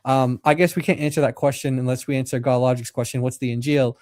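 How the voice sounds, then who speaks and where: A man with a mellow, mid-pitched voice hosts a live call-in stream. He is easygoing and thinks out loud between fillers, recorded close and dry. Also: level tone, quick pace, dry recording. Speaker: co-host. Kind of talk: casual call-in discussion.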